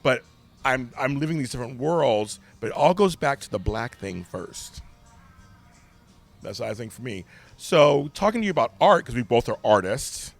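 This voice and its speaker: A middle-aged man with a deep voice, speaking candidly and emphatically as a podcast guest. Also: normal speed, vocal fry, faint music behind. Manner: animated, emphatic storyteller. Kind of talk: candid conversation.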